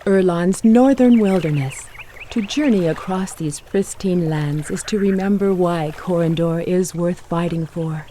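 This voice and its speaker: A woman playing a solemn, mystical narrator in a fantasy video game, telling of the realm in a grand, measured storyteller delivery. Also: on the low side, mellow, slight background noise, natural voice. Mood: reverent, wistful. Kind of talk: dramatic scripted fantasy narration.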